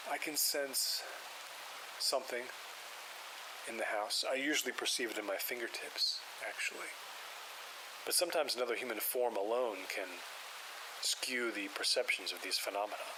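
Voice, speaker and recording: Man as level-headed paranormal investigator in an audio drama. Measured, clinical delivery, middle pitch. Heavy rain hiss under him. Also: natural voice. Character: calm skeptical male paranormal investigator. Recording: loud rain noise and hiss.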